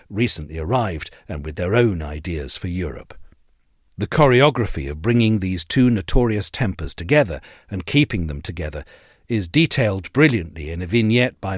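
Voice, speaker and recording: Low-voiced male narrator with expressive intonation. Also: no room sound, studio quality. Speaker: narrator reading an essay aloud. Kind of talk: scripted narration of a history essay.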